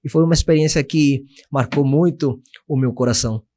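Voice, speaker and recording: A young man from Venezuela talks in Portuguese with a clear Venezuelan Spanish accent, earnest and steady. His voice sits at a medium pitch, and he sometimes hesitates while he reaches for a word in his second language. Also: fairly quick. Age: 20s. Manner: earnest, searching for Portuguese words. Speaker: missionary guest. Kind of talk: podcast testimony.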